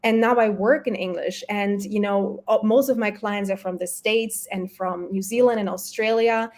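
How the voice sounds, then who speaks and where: A woman's voice: medium pitch, fairly even intonation, normal speed, a little mellow. A woman whose first languages are German and Polish, talking casually and chattily as an interview guest, acting out her own self-talk. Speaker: guest, health coach and entrepreneur.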